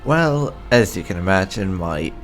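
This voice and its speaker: A man's voice: deep voice, very animated, unhurried, faint music behind. A man giving casual, rambling let's play commentary as a gaming YouTuber.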